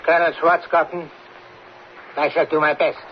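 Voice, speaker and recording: An actor playing an eager, grasping informant who sells secrets, in an old radio drama. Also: male voice, on the high side, level tone, moderate pace, natural voice. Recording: muffled old radio recording, faint noise.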